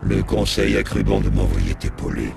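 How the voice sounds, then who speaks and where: An actor voicing a cold, commanding alien villain in a video game, with a very deep gravelly voice. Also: male voice, huge pitch swings, normal speed, heavily acted. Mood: smooth, self-assured. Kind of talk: dubbed video game cutscene acting. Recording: loud music over the voice.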